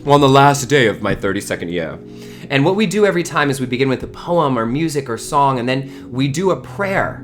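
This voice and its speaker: A man's voice: medium pitch, very animated, brisk, faint music behind. A man in his early thirties hosting an informal online spiritual community gathering, talking effusively and repeating words for emphasis. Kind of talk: informal livestream talk to a community.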